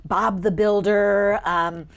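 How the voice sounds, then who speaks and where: A woman voice actor, a guest on a studio talk show, telling stories about her career in a lively, expressive way. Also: mid-range voice, slow. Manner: animated, expressive storyteller. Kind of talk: interview storytelling. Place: TV studio couch.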